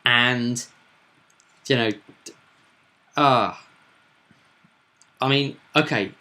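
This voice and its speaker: A man's voice: mid-range voice, drawn-out words, pushed and bright. A man chatting as a game master, recounting a tabletop roleplay session with emphatic, dramatic storytelling.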